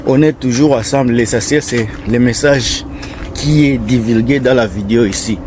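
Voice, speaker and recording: A man's voice: mid-range voice, moderate pace, noisy background. A man speaking French with an African accent, not his first language, giving earnest, repetitive advice to his viewers while vlogging outdoors on a residential street. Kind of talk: casual vlog talking to viewers.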